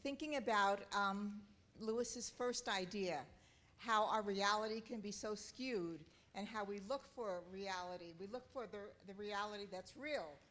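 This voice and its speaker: A woman from Southern California with a loud voice, sharing a personal reflection from the audience at a church talk, earnest and halting as she searches for words. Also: medium pitch. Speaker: audience member. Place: church auditorium.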